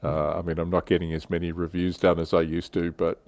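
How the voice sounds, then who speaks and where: A man's voice: low-pitched, level tone, a little fast, darkish tone. A man, hosting a casual panel chat. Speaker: host.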